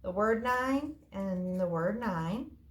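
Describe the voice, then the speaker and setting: A woman's voice: medium pitch, lively intonation, slow pace. A woman teaching young children informally, explaining things simply in casual words. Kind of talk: informal children's lesson and story time. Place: indoor room. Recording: echoey room, faint noise.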